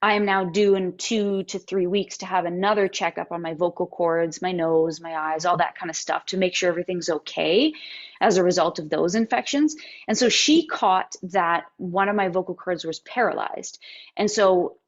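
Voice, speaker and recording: Slightly raspy woman in her thirties. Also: lowish voice. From Canada and the United States. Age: 30s. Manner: animated storytelling with quoted voices and halting restarts. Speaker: interview guest, singer-songwriter. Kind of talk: candid interview conversation. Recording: dull lo-fi audio.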